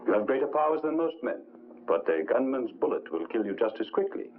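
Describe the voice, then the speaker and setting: An actor playing a grave, formal mentor who cautions a hero in a 1950s film drama. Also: male voice, mid-range voice, sing-song, brisk, narrow, tinny audio, natural voice. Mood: stern warning. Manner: formal, admonishing. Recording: thin old film soundtrack, faint noise.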